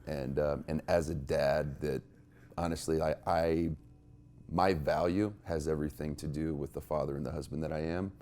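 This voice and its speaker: Very deep, mellow-voiced male host. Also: fairly even intonation, moderate pace, slight background noise. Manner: effusive, casual, says dude and man. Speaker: podcast host. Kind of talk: interview chat.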